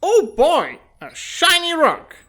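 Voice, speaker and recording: A woman's voice: extremely high pitch, huge pitch swings, slow pace, fairly bright tone, heavily acted. A woman playing a brash, self-serving commander, blunt and skeptical, in a dramatic comic fandub. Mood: sarcastic.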